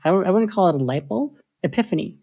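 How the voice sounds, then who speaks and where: A woman, a software engineer, talking through her ideas in a casual interview with lots of filler likes. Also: lowish voice, animated, fast talker, breathy edge, lo-fi sound. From United States. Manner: thoughtful, many filler likes.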